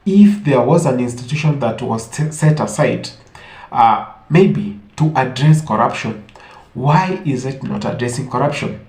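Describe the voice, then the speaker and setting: A man's voice: mid-range voice, expressive, measured pace, darkish tone. Guy doing an opinion vlog, emphatic, lots of rhetorical questions, really roomy echo. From Africa. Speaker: online commentator. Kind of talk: opinionated commentary to camera. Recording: echoey room.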